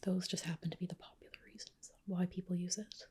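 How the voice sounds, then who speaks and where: A woman whispering gently and reassuringly in a breathy voice, playing a spa clinician in an ASMR roleplay. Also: fairly low pitch, little pitch movement, fast talker.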